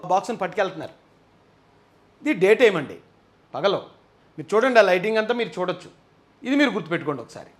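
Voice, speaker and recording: Very high-pitched, fast-talking male commentator. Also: big pitch swings, a little hiss or hum. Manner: emphatic, argumentative, asks rhetorical questions. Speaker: political commentator and live presenter. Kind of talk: impassioned live commentary addressed to viewers.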